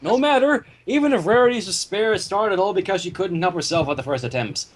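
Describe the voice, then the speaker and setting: A man's voice: high-pitched, lively intonation, fairly quick, a little hiss or hum. A man narrating a fan fiction story aloud, with casual asides, in a home recording.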